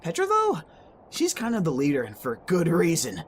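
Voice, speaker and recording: A man playing a friendly, excitable young man in an amateur audio drama, talking in casual slang. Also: on the high side, normal speed, a little hiss or hum. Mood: candid.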